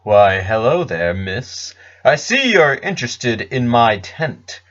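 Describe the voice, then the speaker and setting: Guy doing a folksy cartoon pony shopkeeper, polite sales pitch, eager, bit muffled audio. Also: sing-song, takes it slowly, fairly bright tone. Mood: inviting. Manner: courteous showman's sales patter. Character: folksy, eager male pony magical pet shop salesman.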